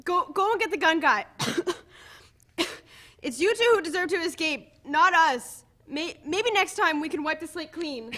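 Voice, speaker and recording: A woman in a dramatic play reading, playing a remorseful, self-sacrificing kidnapper in a halting, earnest way. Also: very high-pitched, lively intonation, bright, forceful tone, exaggerated voice. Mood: resigned urgency.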